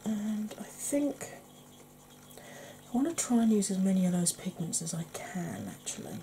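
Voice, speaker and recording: Soft-whispering Welsh woman, mellow voice. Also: medium pitch, huge pitch swings, normal speed, slight background noise. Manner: soft, often whispered, chatty and mildly sarcastic. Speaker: beauty YouTuber. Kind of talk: ASMR makeup tutorial. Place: indoors at home, face in close-up.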